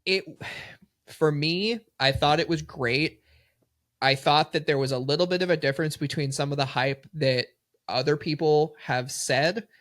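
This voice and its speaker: A man's voice: fairly high pitch, measured pace, fairly bright tone, dry recording, studio quality. A man co-hosting a podcast, chatting casually and joking, often repeating his words as he starts. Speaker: podcast co-host. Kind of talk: casual chat.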